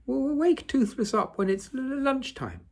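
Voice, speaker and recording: A tiny, sleepy dragon from a storybook squeaks and stammers, its voice pitched way up and bouncing around. The tone is husky and breathy. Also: male voice, very animated, normal speed, darkish tone, exaggerated voice. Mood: sleepy, cheeky. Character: small sleepy stuttering young dragon.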